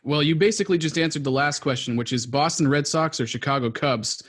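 Fast-talking American man hosting a podcast. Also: a little high. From New England, USA. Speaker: podcast host. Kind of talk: upbeat podcast introduction and chat.

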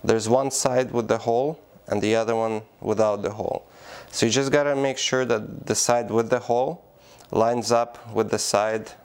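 A man giving a plain, practical how-to demonstration, pausing often with small fillers. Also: mid-range voice, steady tone, smooth. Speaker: product demonstrator. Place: indoor room by a door.

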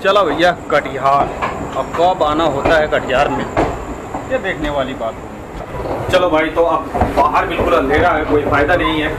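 A man's voice: a little high, normal speed. A young man chatting casually to viewers as a travel vlogger, talking into an action camera held at arm's length on an outdoor railway platform and in a train coach. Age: young adult. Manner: chatty, talks straight to viewers, many fillers. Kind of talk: casual vlog chat to camera. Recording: loud station and train noise.

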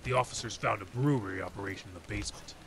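A man playing a gravelly veteran detective. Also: lowish voice, rapid-fire, crowd noise, close to an everyday voice. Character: hardened veteran male city detective. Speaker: Detective Henry Reeves. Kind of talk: old time radio drama acting. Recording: hissy background noise.

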